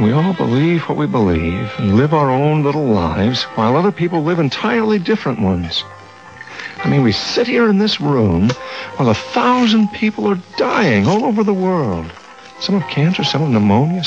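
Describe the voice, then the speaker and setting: An actor in a radio drama playing a troubled, brooding husband, earnest and preoccupied. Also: male voice, on the high side, sing-song, moderate pace. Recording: dull radio recording with music.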